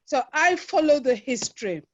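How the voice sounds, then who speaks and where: A woman's voice: high voice, very animated, normal speed, a little hiss or hum. A 69-year-old woman from London and West Africa, speaking earnestly and emphatically, repeating phrases as she reflects in an online discussion. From London-born, grew up in West Africa. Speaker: webinar participant. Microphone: video call. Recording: dull lo-fi video call audio.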